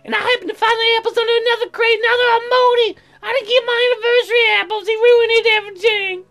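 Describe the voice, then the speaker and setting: Very high-pitched, whiny, dim-witted young woman for a comic game, childish slangy grammar. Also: steady tone, fast, a bit bright, faint music behind. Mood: whiny complaining. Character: whiny, dim, food-obsessed young female colonist neighbor. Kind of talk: game character voiced in a let's play.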